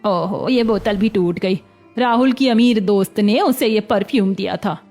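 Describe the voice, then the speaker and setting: A woman's voice: medium pitch, unhurried, a little mellow, soft background music. A woman voicing a caring, concerned older mother-in-law in a cartoon story. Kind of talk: Hindi cartoon story voice acting.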